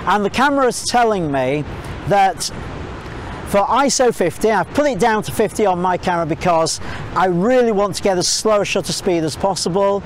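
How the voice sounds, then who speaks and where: A man's voice: high-pitched, lively intonation, moderate pace, a little hiss or hum, low-quality recording. A middle-aged man teaching a photography technique outdoors by a waterfall, explaining step by step and thinking aloud with small fillers. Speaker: photography tutor. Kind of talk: outdoor instructional talk to camera. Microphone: clip-on mic, camera at mid distance.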